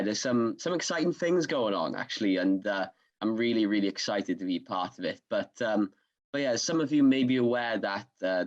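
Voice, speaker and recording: A man's voice: on the low side, lo-fi sound. A man with a Swansea Welsh accent, the podcast host, talking in an upbeat, chatty way during an interview. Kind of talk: conversational interview.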